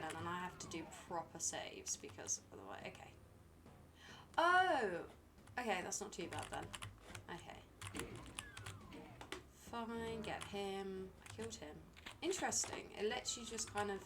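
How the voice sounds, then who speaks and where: A woman's voice: mid-range voice, noisy background. A British woman streaming a game, talking to herself and chatting to viewers in a dry, rambling way. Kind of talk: live gameplay commentary.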